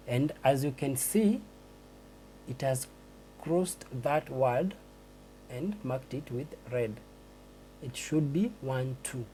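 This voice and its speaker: A man giving a screen-recorded tutorial on a transcription test, explaining slowly and hesitantly with many restarts. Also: a little high, a little mellow, slight background noise, rough recording.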